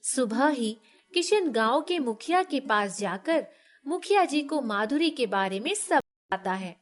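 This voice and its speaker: A woman storyteller with a very high voice. She narrates a scripted tale in clear sequence, as a storyteller would. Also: animated, a little slow.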